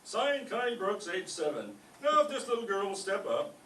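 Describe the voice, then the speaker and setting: Guy doing a grumpy principal in a radio comedy, pretty high voice, echoey w/ faint noise. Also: big pitch swings, normal speed, pushed and bright, exaggerated voice. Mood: reading aloud. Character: gruff, grudging school principal playing a jolly Santa Claus. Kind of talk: old-time radio comedy acting. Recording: echoey room, distant mic, faint noise.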